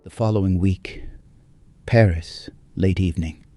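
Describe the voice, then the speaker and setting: Low, mellow male story narrator. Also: steady tone, a little slow, soft background music. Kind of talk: scripted dramatic story narration.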